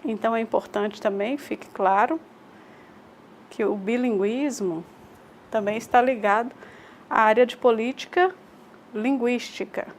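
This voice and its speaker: A Brazilian woman with a fairly high voice teaches a recorded online class. She builds her points through rhetorical questions and repetition, pausing to check with her students. Her delivery is patient and explanatory. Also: a little hiss or hum. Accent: Brazilian Portuguese.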